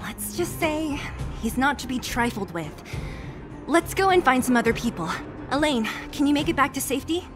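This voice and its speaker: A woman's voice: high-pitched, animated, normal speed, over music. A woman playing a blunt, bossy but protective young fighter in a video game, speaking in brusque commands. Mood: serious.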